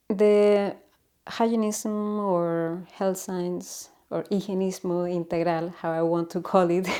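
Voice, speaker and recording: Chilean woman, non-native English, slow and soft-toned, hesitant host explaining calmly. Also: fairly low pitch. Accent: Chilean Spanish. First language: Spanish. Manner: hesitant, searching for English words with many fillers. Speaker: channel host. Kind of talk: informal explanatory talk to camera.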